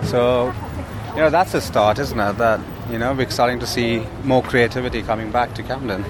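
A man who grew up in India talks in a relaxed, chatty way, full of little fillers and restarts. He is out on a city street, and there is plenty of traffic noise around him. His voice sits at an ordinary middle pitch. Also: normal speed. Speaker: food startup co-founder. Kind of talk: casual walking interview. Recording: outdoor street recording with traffic.

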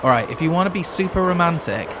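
A man's voice: fairly high pitch, fast talker, background noise, natural voice. A man with a British accent acting as a brash, crude, bumbling show host in a comedy radio play. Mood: blustering, cocky. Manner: excitable, blustering, sweary.

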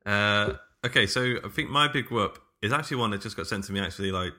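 A man's voice: on the low side, fast, bright, forceful tone. A man hosting a podcast in casual chat, feeling his way through sentences with hesitant enthusiasm. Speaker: podcast host.

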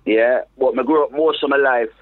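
Reggae DJ, patois, phone-like sound. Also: male voice, mid-range voice. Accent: Jamaican patois mixed with London. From England. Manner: relaxed, halting, restarts phrases. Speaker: reggae DJ guest. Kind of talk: casual interview chat.

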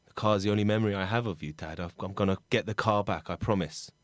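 A man's voice: fairly low pitch, quick pace, no echo, natural voice. A man playing a hapless, chatty, sweary Englishman stranded abroad in an improvised comedy drama. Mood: forlorn muttering. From England. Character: Bob, hapless sweary Englishman stranded in France, missing his dad.

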